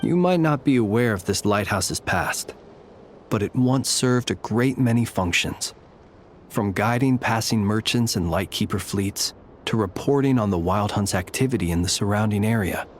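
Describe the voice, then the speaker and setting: An actor playing a solemn, courteous graveyard lightkeeper in a video game, speaking in a formal, measured, old-fashioned way. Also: male voice, fairly low pitch, lively intonation, a little hiss or hum, natural voice. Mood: solemn, thoughtful. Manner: formal, measured, archaic diction.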